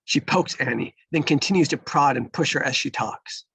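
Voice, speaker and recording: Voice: medium pitch, normal speed, gravelly, fairly bright tone, husky, no room sound. A narrator reading screenplay stage directions aloud in a scripted table-read style.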